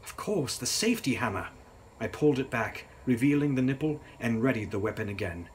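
A young man narrates gothic fiction with grave theatricality. His delivery is dramatic and mid-pitched, with faint noise behind it. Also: very animated, brisk. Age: young adult. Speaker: author reading his novel. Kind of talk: dramatic gothic audiobook-style reading.

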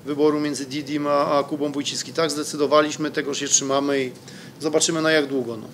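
A man's voice: a little high, flat delivery, quick pace, a little hiss or hum. A middle-aged man, a football coach, answering reporters' questions at a press conference in a hesitant way, with many fillers and restarts, into a desk microphone. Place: press room in front of a sponsor wall.

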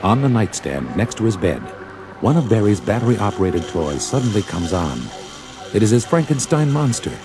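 A man's voice: on the low side, very animated, normal speed, music in the background. A man narrating an audio drama in a dramatic scripted storytelling style. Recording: old story record with backing sound.

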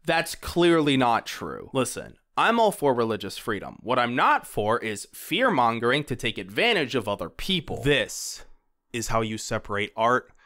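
Male video essayist, animated pitch swings, emphatic delivery, opinionated commentary, close dry sound. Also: moderate pace, no room sound.

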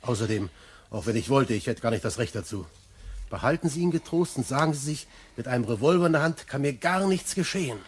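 An actor playing a calm, businesslike police inspector in a German crime radio play. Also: male voice, medium pitch, quick pace, a little hiss or hum, natural voice. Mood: calm, reassuring.

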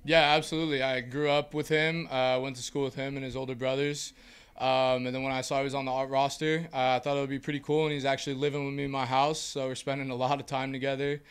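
A young man, a college baseball player, giving relaxed postgame answers full of fillers into a handheld mic. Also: medium pitch, fast, clear, resonant tone. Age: young adult. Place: small indoor press backdrop. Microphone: handheld mic held by host.

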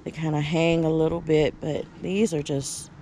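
A woman's voice: low-pitched, flat delivery, moderate pace, mellow, slight background noise. A woman giving a casual, chatty tour of her backyard garden, talking from the camera as she walks, with easy fillers and restarts.

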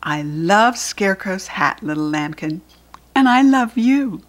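Lady doing a sweet loving animal mom from a kids book, american, draws words out slow, tender. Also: medium pitch, animated, natural voice. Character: loving animal mama praising her baby's gift.

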